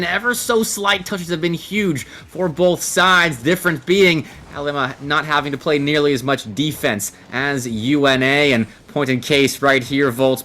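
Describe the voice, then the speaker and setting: Analytical male esports caster, higher voice. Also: bright, forceful tone. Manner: explanatory, speaks in long analytical sentences. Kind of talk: live analytical sports commentary of a video game match.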